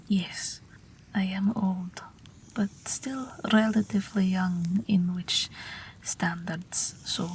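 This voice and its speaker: A soft, slow whisper from a kindly witch aviator, acted by a woman, lulling someone in a bedtime audio roleplay. She is intimate and gently amused, with some creak in the breath and noise behind her. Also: medium pitch. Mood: modest, reminiscing. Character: gentle night witch aviator, old but youthful, soothing a patient.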